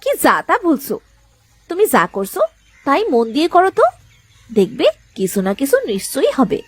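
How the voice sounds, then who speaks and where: Woman acting high, swooping village wife. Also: sing-song, normal speed, a little mellow, dry recording. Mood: mock-scolding. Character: caring, scolding village fisherman's wife. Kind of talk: Bengali cartoon moral-story dialogue.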